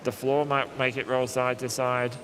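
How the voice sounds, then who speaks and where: A man giving live sports commentary, calm and explanatory, often restarting his sentences as he thinks aloud. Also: mid-range voice, moderate pace, music in the background. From Australia. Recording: live stream with steady background noise.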